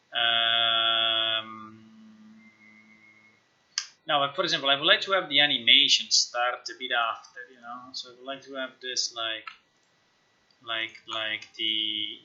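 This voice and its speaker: Sharp, bright-voiced man thinking aloud, slow-paced. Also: medium pitch. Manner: halting, musing, talks to himself. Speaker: game developer live-streamer. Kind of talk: casual live screen-share commentary, thinking aloud. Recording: slightly dull, lo-fi stream audio.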